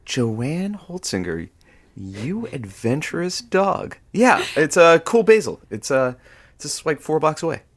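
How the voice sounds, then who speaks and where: A man's voice: fairly high pitch, very animated, a little slow, dry recording. A man playing an affable radio show producer in an audio drama, casual and teasing in his delivery. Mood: friendly teasing. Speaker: radio show sidekick and announcer. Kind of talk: audio drama banter and credits.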